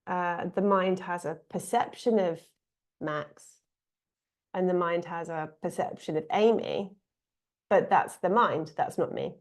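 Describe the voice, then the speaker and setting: English lady talking slow and thoughtful about the mind, lots of pauses. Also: medium pitch, darkish tone. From England. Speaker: spiritual teacher. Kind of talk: reflective spiritual talk.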